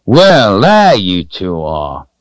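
A man's voice: medium pitch, sing-song, measured pace, no room sound, studio quality, voice pushed up. A man voicing a jolly, teasing old man in an audiobook, with a hearty, folksy manner. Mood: hearty greeting. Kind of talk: audiobook character voice.

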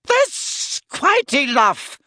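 Man putting on a high-pitched fussy woman shopkeeper. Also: sing-song, a little slow, bright, forceful tone, dry recording, clean studio sound, full character voice. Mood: anxious scolding. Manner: fluttery, hasty, motherly. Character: fussy, flustered middle-aged female shopkeeper, anxiously scolding.

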